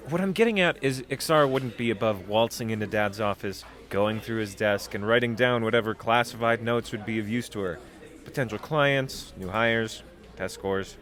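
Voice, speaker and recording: A man's voice: lowish voice, normal speed, a bit bright, lightly acted. An actor playing an anxious, eager young man of twenty-two leading space biker recruits in an audio drama, stammering nervously. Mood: scheming, wistful. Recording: music under the voice.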